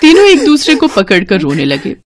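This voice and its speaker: A woman narrating animated moral stories from a script, as a storyteller. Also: mid-range voice, moderate pace.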